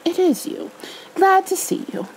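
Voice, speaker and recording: A woman's voice: very high-pitched, sing-song, a little slow, a little hiss or hum, pitched above the performer's own voice, heavily acted, rough recording. A woman voicing a fussy, cagey male rabbit from a storybook, speaking in a prim, polite, hedging way. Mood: fussy, welcoming.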